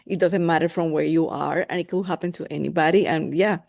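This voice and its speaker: A woman hosting an interview, asking unhurried questions that she rephrases as she goes. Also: deep voice, fairly even intonation, creaky, darkish tone.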